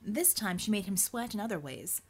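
A woman's voice: mid-range voice, lively intonation, a little fast, a little mellow, slightly rough. Woman narrating dramatic fiction. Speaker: audiobook narrator.

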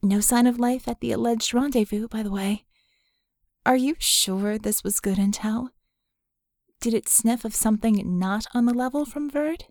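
A woman's voice: a little high, normal speed, darkish tone, dry recording, studio quality, close to an everyday voice. A woman voicing a guarded, sardonic young female mage in an audiobook, telling her story with wry candor. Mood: dry, grumbling. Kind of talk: audiobook character dialogue.

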